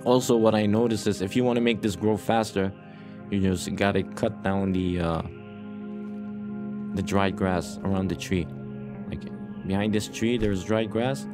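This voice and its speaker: A man's voice: fairly low pitch, steady tone, a little slow, pauses often. A man giving casual let's play commentary as host, rambling and playful, often repeating his own phrases, short on sleep. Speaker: gaming YouTuber, host. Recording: music behind the voice.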